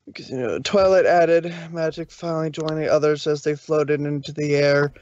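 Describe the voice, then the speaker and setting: A man's voice: fairly high pitch, steady tone, normal speed, a little mellow, dull audio. A man reading a story aloud as amateur narrator, sometimes stumbling over the words.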